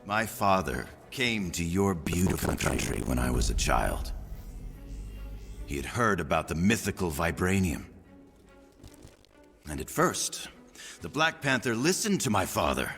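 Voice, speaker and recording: Villain for a game cutscene: vengeful, sardonic man, theatrical swings in pitch, unhurried menace, music underneath. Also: sing-song, creaky, a bit bright, full character voice. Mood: bitter, mocking. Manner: taunting, theatrical, deliberate. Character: vengeful, menacing male arms-dealer villain, sardonic and cruel. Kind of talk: video game villain acting.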